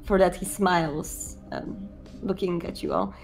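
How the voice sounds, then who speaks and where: A woman's voice: fairly low pitch, very animated, unhurried, faint music behind, poor audio. A woman narrating her character's actions in an improvised tabletop roleplaying game, phrasing things carefully with some hesitation.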